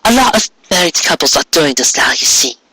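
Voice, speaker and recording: A male reader as tender female cartoon pony, married, bashful, high lifted voice, breathy and halting, storybook fan fiction. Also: sing-song, bright, forceful tone, no room sound, exaggerated voice, low-quality recording. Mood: nervous, hopeful.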